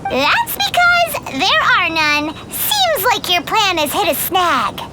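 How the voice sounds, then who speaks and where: Squeaky, childlike little fairy. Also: female voice, wildly swooping pitch, slow pace, background noise, exaggerated voice. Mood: chirpy. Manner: squeaky, exclamatory. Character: tiny floating fairy companion, childlike and cheeky. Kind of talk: cartoonish game voice acting.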